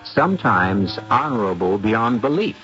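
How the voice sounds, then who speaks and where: A man hosting a radio mystery show, giving dramatic scripted narration in a portentous, deliberate manner. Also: on the low side, sing-song, fairly bright tone. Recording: dull old radio recording.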